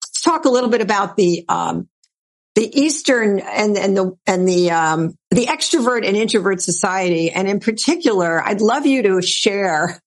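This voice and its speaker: A woman hosts a casual interview, her voice middle in pitch. She asks long, meandering questions and restarts them often as she thinks aloud. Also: big pitch swings, moderate pace. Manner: chatty, rambling questions with laughs. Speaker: podcast host.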